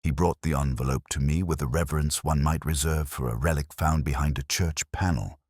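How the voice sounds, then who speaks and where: A man playing an ageing, wry, brooding detective inspector who narrates a scripted mystery in measured, literary phrases. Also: very deep voice, fairly even intonation, darkish tone, dry recording, studio quality. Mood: brooding, reflective.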